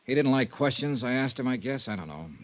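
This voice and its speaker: An actor playing a self-assured insurance investigator in a 1950s radio detective drama, speaking in a clipped, dry, sardonic way. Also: male voice, medium pitch, animated, a little fast, highs cut off, close to an everyday voice. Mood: dry, teasing. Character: hard-boiled wry male insurance investigator, self-assured. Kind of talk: 1950s radio detective drama acting and first-person narration.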